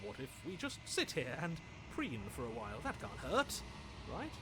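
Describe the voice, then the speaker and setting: Anxious excitable head-voice guy, game acting, swings up and down, noise behind. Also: mid-range voice, moderate pace. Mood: fearful. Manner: theatrical, expressive.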